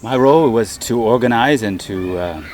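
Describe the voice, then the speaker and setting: A man speaking as a theatre director in a casual interview, hesitant and often pausing mid-sentence. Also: medium pitch, lively intonation, darkish tone. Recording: crowd chatter behind the voice. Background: crowd of people.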